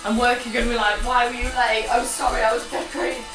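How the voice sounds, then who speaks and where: A young woman vlogging while she dances, her voice croaky and her talk bubbly and exclamatory, slightly out of breath from moving. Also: on the high side, level tone, fast talker. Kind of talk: chatty vlog while dancing. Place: cold glass conservatory at home. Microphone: far camera. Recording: echoey room from far off, music behind.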